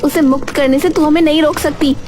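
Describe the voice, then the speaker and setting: A woman's voice: sits high, steady tone, fairly quick, darkish tone, soft background music, close to an everyday voice. A woman voicing a brave, earnest young village girl in a cartoon story. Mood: defiant. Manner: earnest, defiant. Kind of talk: cartoon voice acting.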